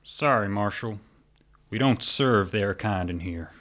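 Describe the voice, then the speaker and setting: A man's voice: fairly low pitch, takes it slowly, fairly bright tone. A man playing a polite but bigoted Old West barkeeper in a radio serial. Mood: polite, firm. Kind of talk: western radio serial acting.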